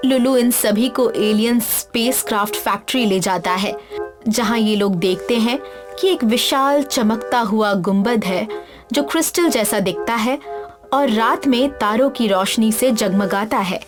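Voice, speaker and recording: A woman works as a storyteller, reading a scripted children's tale. Her voice is fairly high, and music plays clearly behind her. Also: unhurried. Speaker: story narrator.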